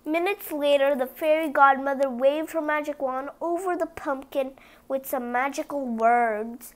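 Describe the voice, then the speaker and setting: A woman's voice: high-pitched, measured pace. A woman reading a children's story aloud, stumbling now and then over the words. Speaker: storybook reader.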